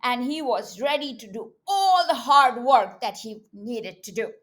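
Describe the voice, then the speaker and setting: A woman reading a story aloud to young children in an animated, teacherly way, often prompting them with questions. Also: on the high side, measured pace.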